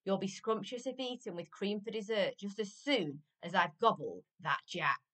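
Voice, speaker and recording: A woman's voice: mid-range voice, moderate pace, a bit bright, voice pushed up, close to an everyday voice. A woman voicing a grumpy, child-gobbling male giant from a storybook, chanting emphatically in rhyme. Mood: menacing, hungry. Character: grumpy, gobbling male giant who softens when praised.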